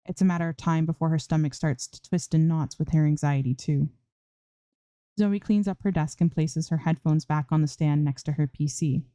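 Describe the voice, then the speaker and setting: Female narrator for story reading, low and soft-edged, dry close recording. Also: steady tone, normal speed, dry recording. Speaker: fan fiction reader.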